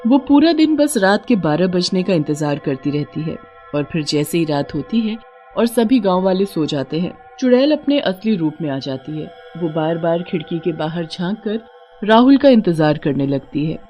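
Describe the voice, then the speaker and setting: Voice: medium pitch, very animated, normal speed, dark tone, faint music behind. A narrator reading a scripted horror tale for a Hindi cartoon. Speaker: story narrator.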